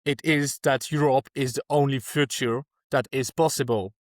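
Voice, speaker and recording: Man, from France, earnest, restarts sentences, mid pitch, dry close mic. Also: fairly even intonation, normal speed, no echo. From France (Alsace). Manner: earnest, searching for words, frequent restarts. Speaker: guest activist. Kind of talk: podcast interview answers.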